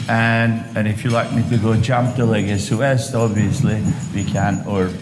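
A man's voice: lowish voice, level tone, moderate pace, background noise. A man in his sixties with a Turkish accent, telling his story as an onstage guest with many fillers and restarts. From Istanbul, Turkey. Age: 60s. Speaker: guest speaker, real estate developer. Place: large hotel ballroom luncheon on stage. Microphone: lapel mic. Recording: echoey ballroom with long reverb, loud dish clatter. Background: clinking cutlery and dishes.

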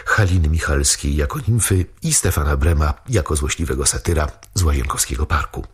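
A man reading a scripted documentary narration in a measured, informative way. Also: rumbling low, slightly rough.

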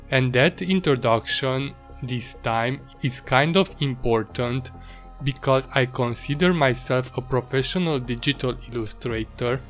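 Hungarian-accented young man, mid pitch, calm voice-over with faint music behind. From Romania, Transylvania. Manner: measured, slightly halting non-native English. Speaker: illustrator narrating his drawing process. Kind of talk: voice-over narration.

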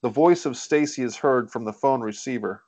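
A man's voice: medium pitch, moderate pace, a little mellow. A man hosting and narrating a screenplay table read over a video call. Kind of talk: reading stage directions, casual moderating.

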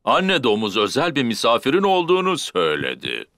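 A man's voice: mid-range voice, huge pitch swings, fairly quick, fairly bright tone, no echo. A man voicing a kindly, playful father pig in a dubbed preschool cartoon. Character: cheerful adult male pig, a kindly father.

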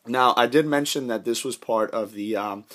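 A man with a hoarse voice from a cold, casually reviewing a knife indoors with lots of fillers and restarts. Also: medium pitch, normal speed, fairly bright tone. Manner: rambling, many fillers and restarts. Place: indoors, carpeted room.

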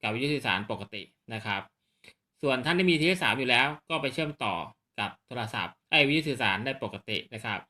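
A man's voice: medium pitch, expressive, fast, pushed and bright. A man giving a casual hands-on product demonstration to viewers, explaining politely and often checking that they follow. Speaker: presenter of radio gear demo on his own video channel.

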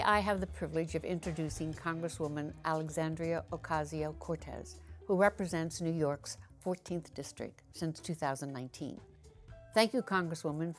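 Older woman host with a low voice, gracious and composed, lapel mic in a studio. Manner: gracious, scripted intro then casual questions. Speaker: interview host. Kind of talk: interview hosting. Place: seated in a studio set.

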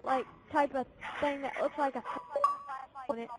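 A woman's voice: high-pitched, steady tone, moderate pace, loud music behind the voice. A woman chatting casually and bluntly in an online game party, scolding other players over a noisy headset connection. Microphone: game console party chat.

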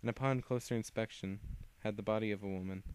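A man's voice: on the low side, steady tone, fast, a little mellow, clean tone. A man casually reading his own fan fiction aloud, often stumbling and restarting words. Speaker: reader.

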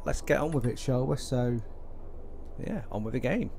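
A man's voice: medium pitch, normal speed, creaky, darkish tone, a little hiss or hum. A man hosting a gaming video, chatting casually in a polite, rambling, hesitant way and often repeating himself. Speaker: gaming YouTuber, host. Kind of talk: casual video intro commentary.

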